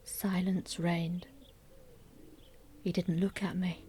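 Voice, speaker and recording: A wistful, slow-speaking middle-aged wife, confiding. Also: female voice, fairly low pitch, flat delivery, mellow, slight background noise. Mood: anxious, brooding. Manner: measured, confiding, often dropping to a near-whisper. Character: middle-aged wife and mother, wistful, secretly grieving a love affair. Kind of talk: intimate dramatic monologue, scripted radio play narration.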